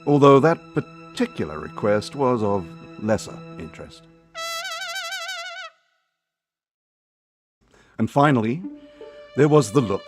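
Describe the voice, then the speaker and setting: An actor playing a loyal, earnest gentleman doctor and detective's companion, speaking formally and with measure in a film drama. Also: fairly low pitch, close to an everyday voice. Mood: reflective. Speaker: actor, narrator. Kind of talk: dramatic narration and film dialogue.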